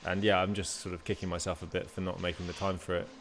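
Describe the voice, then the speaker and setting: A man hosting a conversation, talking casually and haltingly with many restarts. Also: deep voice, level tone, gravelly, fairly bright tone, slight background noise. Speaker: interviewer. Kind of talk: casual interview chat.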